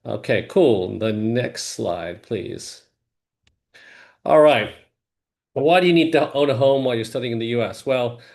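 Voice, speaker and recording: Halting, filler-heavy man hosting a webinar. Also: lowish voice, a little mellow. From Hong Kong family, grew up in Singapore. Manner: halting, many fillers and restarts. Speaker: webinar host, mortgage lender. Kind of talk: casual webinar presentation. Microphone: video call.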